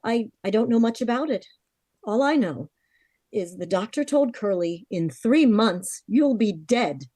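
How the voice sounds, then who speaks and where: A woman's voice: medium pitch, lively intonation, measured pace, mellow, dry recording, clean studio sound. A woman playing a worried, frank young heiress of twenty-four in a vintage-style radio drama, confiding her story earnestly. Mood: grave, worried.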